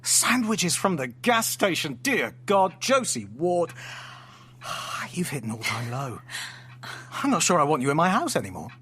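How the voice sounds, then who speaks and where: A man's voice: a little high, sing-song, unhurried, bright, forceful tone, breathy edge, full character voice. An actor playing an erudite, teasing man with formal, playfully theatrical speech in an audio drama. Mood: mock horror. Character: erudite, teasing man, fond host and storyteller. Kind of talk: naturalistic audio drama dialogue.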